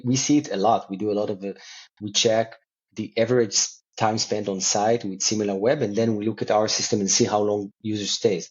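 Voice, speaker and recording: Halting man, dull lo-fi sound. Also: lowish voice, a little mellow. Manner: halting, repeats words and phrases. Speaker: company co-founder and CEO, interview guest. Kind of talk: conversational interview answers. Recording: dull, lo-fi call audio.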